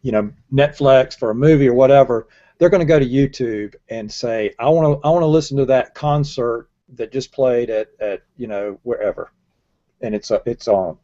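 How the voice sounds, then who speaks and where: A man's voice: medium pitch, moderate pace, dark tone. An older man in a casual panel discussion on a video call, making his points emphatically and often repeating his words. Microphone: earbuds headset on a video call. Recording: dull lo-fi video call audio.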